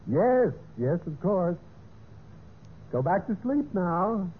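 A man's voice: sits high, big pitch swings, takes it slowly, a little hiss or hum, heavily acted. An actor playing a scheming, nervously evasive husband who narrates his murder plot in an old radio mystery. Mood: startled. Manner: hesitant, stalling with uhs when speaking to others. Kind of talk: 1940s-50s radio mystery acting with first-person narration. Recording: tinny band-limited vintage radio recording, music.

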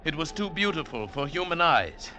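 An actor playing a solemn, superstitious mountain guide who speaks formally and gravely, in mid-century radio style. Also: male voice, a little high, very animated, moderate pace, sharp tone. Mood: solemn, mysterious. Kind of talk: 1940s-50s radio acting. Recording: vintage radio recording with music behind.

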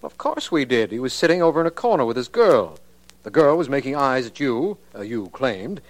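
An actor playing an earnest, curious district attorney in a 1940s radio drama, speaking with emphatic, questioning delivery. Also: male voice, mid-range voice, big pitch swings, a little fast. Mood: patient but curious.

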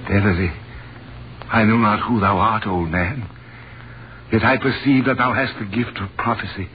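A humble biblical physician, acted by a man in an old radio play, low-voiced and earnest, with formal archaic diction. The recording is muffled with a faint hiss of noise. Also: steady tone, moderate pace, close to an everyday voice. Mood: humble, awed. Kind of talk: 1930s radio acting, archaic biblical speech.

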